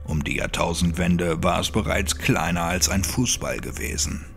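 Man voicing a very deep, gloomy monk. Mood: wistful, grave. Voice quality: dark, hushed, breathy. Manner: slow, grave, fatalistic. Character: nameless monk, dark-voiced, resigned, gloomy keeper of secrets. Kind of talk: dramatic audiobook character acting.

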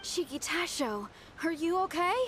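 A spirited young female rock musician from a fantasy game. Her voice is high, bright and animated, earnest and loyal. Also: measured pace, slight background noise, exaggerated voice. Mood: alarmed. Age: young adult. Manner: folksy, drops g's, earnest. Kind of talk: video game voice acting. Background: faint game music.

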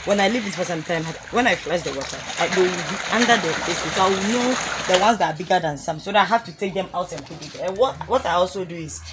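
Ghanaian woman explaining her fish farm. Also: on the low side. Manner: earnest, explains step by step with pauses. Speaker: fish farmer. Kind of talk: casual explanation on a farm tour. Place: outdoor yard of a home fish farm. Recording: outdoor recording with clear background noise.

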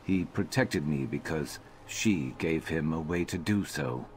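A gruff fantasy game rogue with a very low voice. He is dry and terse, like a conspirator planning a job. Ambient game noise sits behind him. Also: expressive, unhurried. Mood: dry, businesslike. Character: gruff, sardonic male rogue adventurer in dark armour. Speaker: companion character in a fantasy video game. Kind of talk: scripted game dialogue.

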